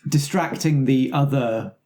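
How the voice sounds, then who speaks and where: A man playing a tabletop game, describing his character's actions in a jokey, animated, casual way. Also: mid-range voice, a little slow. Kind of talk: casual improvised play and chat.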